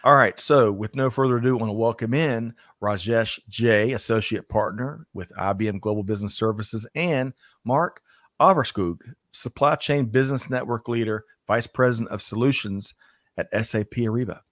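A man's voice: fairly low pitch, moderate pace, no echo. Man, talk show host, upbeat, laughs often, dry close sound. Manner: upbeat, energetic introductions with frequent laughs. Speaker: podcast host. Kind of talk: casual hosted business talk show.

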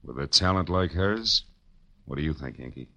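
An actor playing a brash, sardonic American private detective in 1940s radio style, with clipped, wisecracking tough talk. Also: male voice, very deep voice, animated, moderate pace, gravelly, pushed and bright, muffled recording, faint music behind, full character voice. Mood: brash, pushy. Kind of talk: 1940s radio acting, first-person narration and dialogue.

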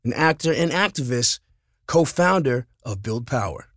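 A man's voice: mid-range voice, expressive, moderate pace, no echo, studio quality. A man hosting a documentary podcast, reading scripted narration.

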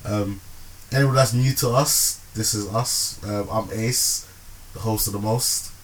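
Relaxed male podcast host, lowish voice, faint noise behind. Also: a little slow, low-quality recording. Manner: relaxed, laughs often, filler-heavy. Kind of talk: casual podcast chat.

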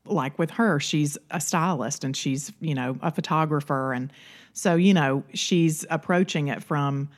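A woman's voice: low-pitched, a little slow. A woman co-hosting a podcast in a casual, chatty way, often padding her sentences with filler phrases. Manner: chatty, peppered with "you know". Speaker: podcast co-host. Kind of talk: casual conversational podcast talk.

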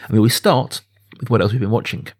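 A man speaking as a podcast host, chatting informally and with gentle jokiness about films. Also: fairly low pitch, steady tone, fast, breathy edge. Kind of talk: casual film podcast chat.

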